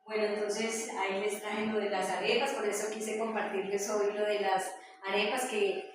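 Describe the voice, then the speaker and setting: A woman's voice: mid-range voice, level tone, normal speed. Echoey, distant Colombian woman presenting. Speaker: presenter sharing her hometown memories. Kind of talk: informal spoken presentation. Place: echoey indoor room with a small audience. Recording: very echoey room, distant mic, faint noise.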